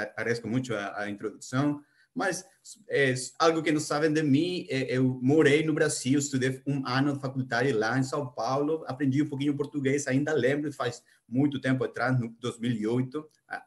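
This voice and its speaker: A middle-aged man with a Spanish accent, Spanish his first language, giving a conference talk in Portuguese as a project planner, halting with many restarts and fillers. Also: mid-range voice. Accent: Spanish-speaking. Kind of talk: conference presentation.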